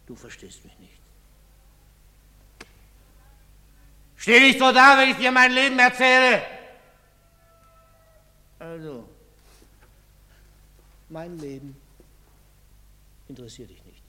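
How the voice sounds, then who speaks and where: An actor playing a drunken, anguished father who pleads in a halting, emotional way, in a German stage drama. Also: medium pitch, expressive, darkish tone. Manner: halting, emotional, swinging from murmurs to outbursts. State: drunk, acted. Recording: very reverberant hall or stage.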